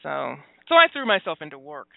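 A woman in her forties hosting a phone-in radio talk show, talking openly about her own life with many pauses, fillers and laughs. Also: low-pitched, very animated, vocal fry, dry recording. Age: 40s. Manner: open and confessional, halting with fillers, laughs often. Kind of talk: candid phone-in radio chat. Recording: dry, tinny phone-like sound.

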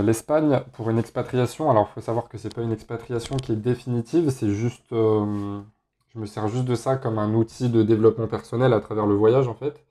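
A young man from France hosting a casual solo podcast, talking in a relaxed way with lots of hesitations. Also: mid-range voice. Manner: relaxed, many filler hesitations. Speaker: podcast host.

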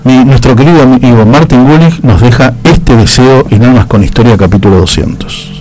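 A man's voice: medium pitch, fairly quick, darkish tone, soft background music, rough recording. A man with an Argentine accent and a nasal voice, chatting casually on air as a radio host, courteous and often repeating his phrases.

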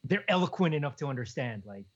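A young man, co-hosting a podcast in relaxed, chuckling casual chat. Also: medium pitch, fast talker. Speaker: podcast co-host. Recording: dull lo-fi podcast audio.

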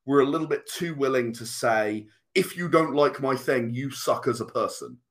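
A man's voice: medium pitch, moderate pace, a bit bright. A man in his late thirties, chatting casually as a podcast guest in an earnest, emphatic way, repeating phrases for stress. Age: late 30s. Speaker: podcast guest, comic and game creator. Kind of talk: casual conversational interview.

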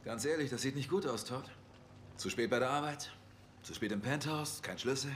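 An actor playing a dry, quirky police detective in a dubbed TV drama, questioning in a deliberate way. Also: male voice, mid-range voice, fairly bright tone, a little hiss or hum, lightly acted. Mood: probing.

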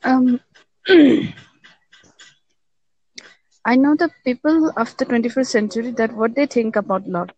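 A woman's voice: on the high side, a little slow, long pauses, a little mellow, dry recording. A woman from Nepal, a guest in a casual online voice call chat, who often asks the other speaker to repeat himself. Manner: keeps asking to be repeated. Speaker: podcast guest. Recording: dull, dry online call audio.

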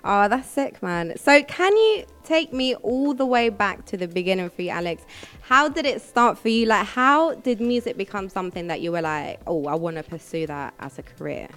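A woman's voice: medium pitch, animated, moderate pace, hardly any pauses, fairly bright tone. A woman hosting a casual interview, chatty and slangy, with enthusiastic reactions. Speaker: radio show host and interviewer.

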